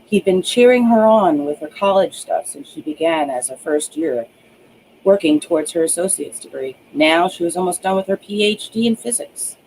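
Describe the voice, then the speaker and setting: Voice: mid-range voice, animated, normal speed, a little mellow, a little hiss or hum, rough recording. An author reading their own novel aloud as scripted audiobook narration.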